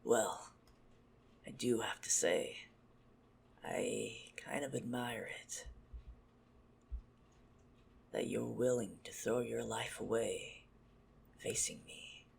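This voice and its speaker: Man acting as a low, gravelly whispering possessive vampire. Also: slight background noise, heavily acted. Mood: mocking, haughty. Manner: haughty, teasing, slow deliberate delivery with breathy murmurs. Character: possessive, menacing, smug male vampire stalker. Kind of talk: ASMR roleplay.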